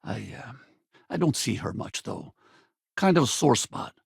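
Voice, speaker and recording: A man playing a weathered, loyal spacefaring pilot in a video game, gravelly and husky, with a casual, wry way of talking. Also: a little high, expressive, brisk, no echo, studio quality, full character voice. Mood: regretful. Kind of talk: video game companion dialogue.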